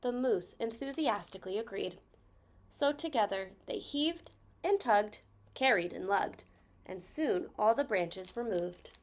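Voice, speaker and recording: A woman reading a children's story aloud expressively, stressing key words with deliberate phrasing. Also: medium pitch, lively intonation.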